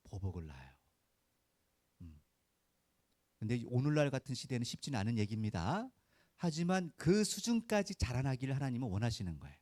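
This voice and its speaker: Animated male pastor preaching conversationally. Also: mid-range voice, a little fast, pauses often, no room sound, clean studio sound. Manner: asks rhetorical questions and prompts listeners for answers; folksy, informal, punchy short phrases. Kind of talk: conversational Sunday sermon.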